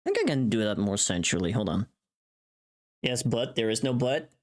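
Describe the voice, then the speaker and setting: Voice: medium pitch, lively intonation, moderate pace, darkish tone. A streamer chatting casually on a livestream, thinking aloud and critiquing their own voice takes. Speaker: streamer recording voice lines.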